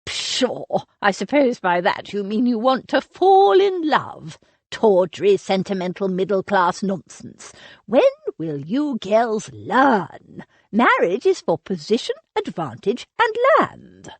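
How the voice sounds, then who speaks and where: A woman's voice: medium pitch, big pitch swings, slow pace, no room sound, exaggerated voice. A woman voicing an imperious, scornful old aristocratic aunt in a storybook reading, speaking in a disdainful, commanding way.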